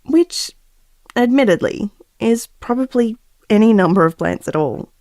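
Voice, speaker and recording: A woman's voice: fairly high pitch, animated, unhurried, darkish tone, dry recording, clean studio sound. A woman acting a doting expectant mother who muses affectionately and wryly, as if taping an audio diary for her baby. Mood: playful, affectionate. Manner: affectionate, musing, wryly self-aware. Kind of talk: intimate audio diary monologue in a drama.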